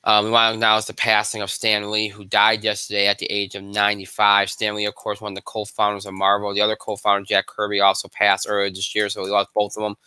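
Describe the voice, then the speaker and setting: Male sports host, congested, high-sounding yet low-pitched speech, very bright and clean, dry close mic, loose rambling delivery. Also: monotone, quick pace, few pauses, clear, resonant tone, no echo. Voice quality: high voice for a man. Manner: rambling with many fillers and restarts. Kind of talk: live solo sports show.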